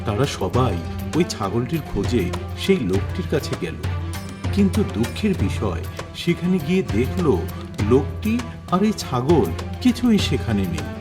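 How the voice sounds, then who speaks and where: A man's voice: medium pitch, very animated, moderate pace. A man narrating a children's cartoon story. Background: music.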